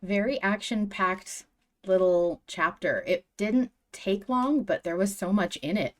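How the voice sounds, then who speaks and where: A woman's voice: medium pitch, a little slow. A woman hosting a podcast in casual chat, with an enthusiastic, emphatic way of talking. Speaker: podcast host.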